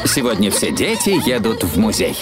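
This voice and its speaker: A man's voice: on the low side, sing-song, normal speed. A man narrating a children's cartoon as a storyteller. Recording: music behind the voice.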